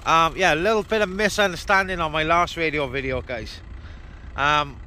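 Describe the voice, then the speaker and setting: A man's voice: fairly high pitch, normal speed, sharp tone, a little hiss or hum. A man vlogging casually and talking on the radio from inside a parked car, addressing viewers informally. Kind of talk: casual vlog chat and radio talk.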